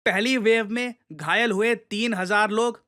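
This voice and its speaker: A man's voice: high-pitched, fairly even intonation, a little slow, sharp tone. A man with an Indian accent giving emphatic explanatory news commentary, addressing listeners directly and mixing in English phrases.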